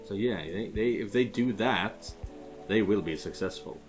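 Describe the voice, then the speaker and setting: A man with a fairly low voice chats casually as he plays a game. He rambles and hunts for words, with faint music underneath. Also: measured pace. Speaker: video game let's player.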